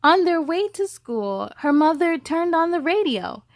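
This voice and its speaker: A woman's voice: very high voice, very animated, a little slow, no room sound, clean studio sound. A woman reading a children's storybook aloud as the host, with casual asides.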